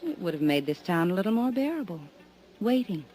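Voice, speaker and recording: A coy, mysterious flirt. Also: female voice, lowish voice, very animated, brisk, mellow. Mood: teasing. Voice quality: coy, teasing. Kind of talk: 1950s radio drama acting. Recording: old radio recording with music.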